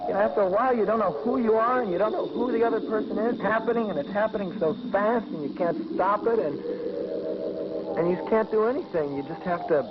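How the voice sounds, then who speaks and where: A man's voice: high voice, fast. A man talking casually as an interviewee in a vintage documentary. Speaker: interviewee describing drug experiences. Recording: muffled, very noisy vintage film.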